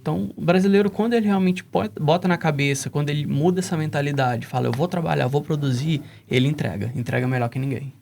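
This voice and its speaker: A man's voice: fairly high pitch, fast talker, vocal fry, few pauses, a little mellow, slight background noise. A man with a Brazilian accent, explaining emphatically as a guest on a casual panel talk. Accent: Brazilian Portuguese. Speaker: panelist, English teaching founder.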